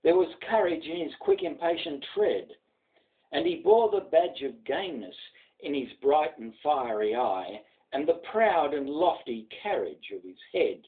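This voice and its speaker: A man's voice: on the high side, huge pitch swings, unhurried, creaky, narrow, tinny audio. A man with an Australian accent giving a dramatic recitation of poetry. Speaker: poetry reader.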